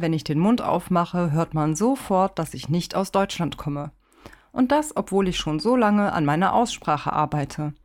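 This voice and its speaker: Woman, podcast host, pronunciation lesson, calm explaining, direct address, mid pitch.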